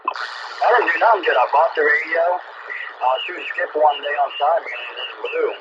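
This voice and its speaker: A man's voice: fairly high pitch, moderate pace. A middle-aged man chatting casually over two-way radio in a joking, folksy way. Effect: two-way radio transmission. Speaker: amateur CB radio operator. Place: heard through a CB radio receiver. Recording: noisy, muffled CB radio signal.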